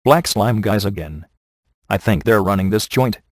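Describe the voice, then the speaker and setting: An actor playing a sardonic, laid-back male ghost hunter in a video game, delivering wry scripted quips in a casual deadpan manner. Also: lowish voice, moderate pace, no echo, clean studio sound. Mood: wry, wary. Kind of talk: scripted game dialogue, dry wisecracks.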